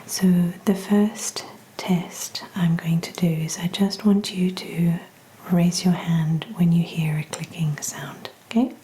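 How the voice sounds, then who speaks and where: A woman speaking in a breathy whisper, gently reassuring and encouraging, as an examiner in an ASMR medical role-play. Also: fairly low pitch, steady tone, slow, slight background noise. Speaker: ASMR performer role-playing a hearing examiner.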